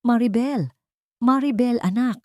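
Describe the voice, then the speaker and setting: A woman voicing a worried, frightened older man in an audiobook story, speaking in halting, urgent, repeated phrases. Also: extremely high pitch, darkish tone, no room sound, studio quality, pitched above the performer's own voice. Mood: alarmed calling. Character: worried, frightened old fisherman family friend, breathless.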